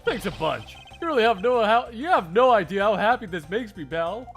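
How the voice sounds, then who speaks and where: A man plays a big-hearted, goofy police detective from a video game fandub. His delivery is gushing and informal, leaping around in pitch with excitement. Music plays behind him. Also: brisk, exaggerated voice. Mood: excited, grateful. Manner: informal, gushing, tacks "pal" onto sentences. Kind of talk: anime game fandub character acting.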